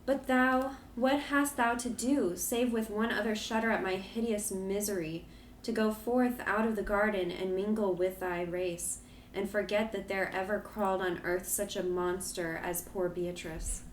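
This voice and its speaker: A man voicing a gentle, sorrowful young woman in an audiobook reading, pleading softly. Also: sits high, fairly even intonation, measured pace, reverberant, slight background noise, pitched above the performer's own voice, low-quality recording. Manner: pleading, soft and tremulous.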